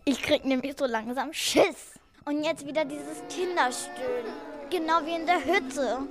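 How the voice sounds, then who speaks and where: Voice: high voice, a little slow, loud music behind the voice. A young performer playing a bold but uneasy child pirate detective in a children's audio drama.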